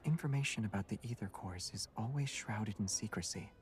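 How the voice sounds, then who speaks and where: Game role: male actor as gentle young hunter, low, hushed, lightly teasing, music underneath. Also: fairly quick, natural voice. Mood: calm. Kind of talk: video game voice acting. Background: background music.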